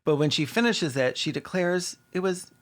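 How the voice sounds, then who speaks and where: A man's voice: high voice, lively intonation, moderate pace, soft background music. A man delivering scripted video commentary in a sardonic, casually sweary way. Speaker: video essayist host.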